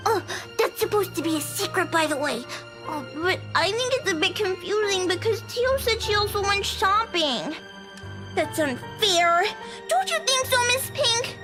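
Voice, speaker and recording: A woman's voice: very high voice, lively intonation, measured pace. A woman voicing a cheerful, chatty little schoolgirl in a visual novel game, childlike and bubbly. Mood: chatty, innocent. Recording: game music behind the voice.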